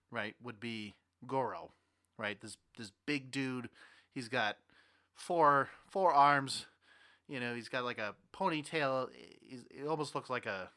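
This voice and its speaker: A young man hosting a podcast with casual, animated, rambling banter about movies and games. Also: mid-range voice. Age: young adult. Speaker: podcast host.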